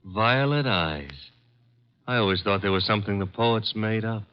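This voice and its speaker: An actor playing a breezy, wisecracking detective's assistant in 1950s radio drama, briefing in a clipped, easy manner. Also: male voice, fairly low pitch, moderate pace, clear, resonant tone, close to an everyday voice. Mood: breezy, smitten. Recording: dull vintage 1950s radio broadcast.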